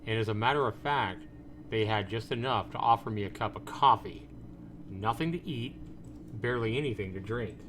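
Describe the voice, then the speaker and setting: A man's voice: fairly low pitch, normal speed, background noise, close to an everyday voice. A man voicing a distraught, defiant father as he reads a visual novel game. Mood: troubled, weary. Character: distraught, hot-tempered father, the game's suspected ex-husband.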